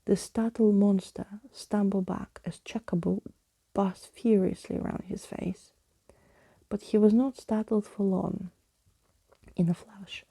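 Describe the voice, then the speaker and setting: Whispering, creaky-voiced woman reading slowly. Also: mid-range voice, no room sound. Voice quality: soft breathy whisper. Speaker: ASMR reader. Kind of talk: whispered ASMR storybook reading.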